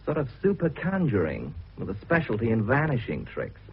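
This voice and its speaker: A man's voice: medium pitch, huge pitch swings, fairly quick, husky, highs cut off, full character voice. An actor playing an earnest young British man recounting an eerie mystery in a radio drama, with a suspenseful storytelling manner. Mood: intrigued. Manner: storytelling, suspenseful, hesitant asides. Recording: thin, noisy old radio recording.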